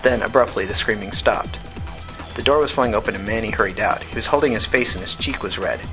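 Man narrating a novel, measured and matter-of-fact. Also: fairly low pitch, music in the background. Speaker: podcast narrator. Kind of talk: scripted audiobook narration of fiction.

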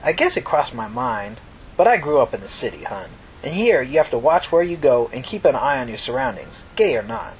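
A man's voice: mid-range voice, big pitch swings, a little fast, creaky, slight background noise, poor audio. A man voicing a kindly, talkative old mother from New York in a story reading, folksy, reflective and reassuring. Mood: matter-of-fact. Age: older.

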